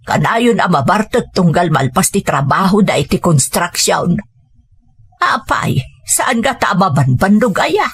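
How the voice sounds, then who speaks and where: A woman's voice: on the low side, very animated, unhurried, husky, heavily acted. A woman playing a scolding, worried grandmother in a village family audio drama, speaking with an Ilocano accent in a nagging, reproachful way. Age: older.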